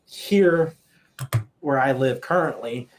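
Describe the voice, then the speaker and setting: A man's voice: mid-range voice, takes it slowly, slight background noise, rough recording. A young man from Colorado, a podcast guest and streamer, talking in a laid-back, casual way with some swearing. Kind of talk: casual chat.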